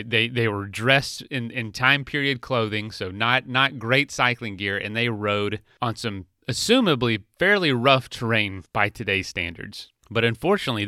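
A man hosting a podcast, talking in a relaxed, chatty way with casual slang. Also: fairly low pitch, clean tone, no room sound, studio quality. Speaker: podcast host.

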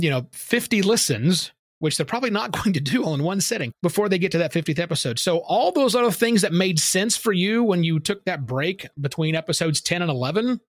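A man's voice: high-pitched, no room sound. A man answering interview questions in an opinionated, emphatic way, explaining his points with examples. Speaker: podcasting guest expert.

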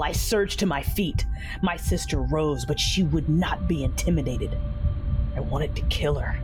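An actress voicing a tormented young Sith woman who narrates her own story, intense and low, dropping to ragged whispers, over dramatic music. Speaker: first-person narrator.

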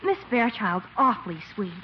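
Eager, cheeky young boy, very high voice, 1940s radio sitcom with faint hiss. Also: expressive, normal speed. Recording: dull, hissy 1940s radio recording.

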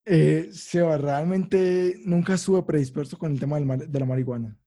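A man with a Colombian Spanish accent, a podcast co-host in a casual chat over a video call, slangy and excitable, swearing freely. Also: a little high, normal speed.

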